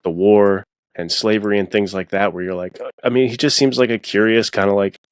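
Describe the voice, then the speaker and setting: A man with a deep, low voice, recorded close and dry. He talks informally about his craft as a guest author, stopping and rephrasing as he thinks aloud. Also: fairly even intonation, fairly quick, smooth, no echo. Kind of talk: casual podcast discussion of fiction writing.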